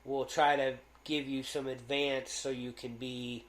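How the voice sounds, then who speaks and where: A man co-hosting a casual podcast, loud-voiced and rambling, with lots of fillers and restarts. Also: medium pitch, flat delivery, measured pace, reverberant. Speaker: podcast co-host.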